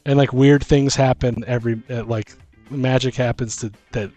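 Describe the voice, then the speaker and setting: A man's voice: mid-range voice, fairly even intonation, normal speed, warm tone, dry recording, soft background music. A man co-hosting a podcast in a casual, rambling, jokey way, acting out little bits of dialogue as he goes. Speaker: podcast co-host. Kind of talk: casual chat.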